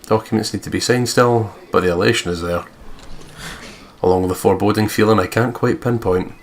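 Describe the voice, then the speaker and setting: A man's voice: fairly low pitch, a little fast. A man co-hosting a let's play, reading visual novel narration aloud with joking asides.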